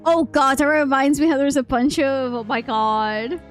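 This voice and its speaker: High-voiced woman streamer over game music. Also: steady tone, normal speed. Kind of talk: casual live gameplay reaction commentary.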